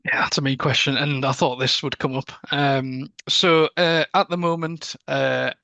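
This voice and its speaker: A man's voice: mid-range voice, steady tone, unhurried, gravelly, bright, forceful tone, no room sound. A man with a Geordie accent chatting relaxedly as he answers a question about an event on a video call. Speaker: event organiser.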